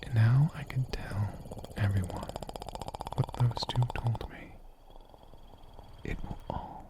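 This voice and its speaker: A man speaking in a gentle, intimate, soft-spoken ASMR style as a creator addressing an audience. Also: on the low side, takes it slowly.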